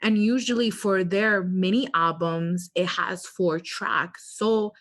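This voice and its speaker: A woman's voice: medium pitch, steady tone, slow pace. A woman co-hosting a podcast, talking casually and giving her opinions. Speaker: podcast co-host.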